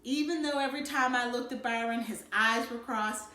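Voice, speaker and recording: A woman narrating a storybook aloud in an expressive read-aloud style. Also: fairly high pitch, moderate pace. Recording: echoey room.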